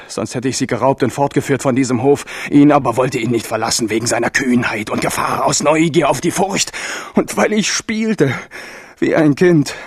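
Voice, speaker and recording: A man's voice: medium pitch, husky, dry recording. An actor playing a grieving, bitter young king in a German radio drama, brooding and theatrical.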